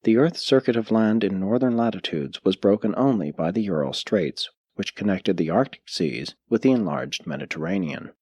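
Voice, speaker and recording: A man reading a book aloud as an audiobook narrator, in a measured, steady way with pauses between listed items. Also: on the low side, husky, no echo.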